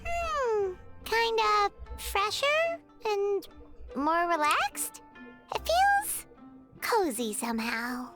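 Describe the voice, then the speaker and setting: A woman's voice: very high-pitched, sing-song, very slow, heavily acted. A woman voicing a tiny, cheerful, curious female fairy companion in a video game, with a childlike manner. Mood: contented. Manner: refers to herself in third person. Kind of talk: animated video game voice acting. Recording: music behind the voice.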